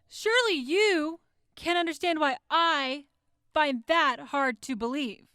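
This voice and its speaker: A woman's voice: high voice, slow pace, sharp tone, no echo, clean studio sound, heavily acted. A woman playing a skeptical, mock-polite prosecutor who draws out pointed questions in an audio drama courtroom. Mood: accusing, disbelieving. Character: skeptical, needling female prosecutor in wasteland court.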